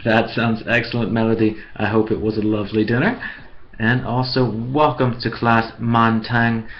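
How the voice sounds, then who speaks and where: Slow, clear American man teaching online. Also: medium pitch, level tone, muffled recording, slight background noise. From USA. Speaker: English teacher.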